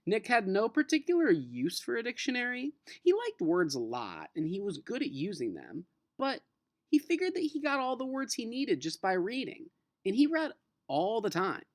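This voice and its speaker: Expressive male teacher with lively pitch swings. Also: moderate pace, no room sound, clean studio sound. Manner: expressive, informal, addressing students. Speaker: teacher reading a children's novel aloud. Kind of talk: read-aloud narration mixed with casual lesson talk.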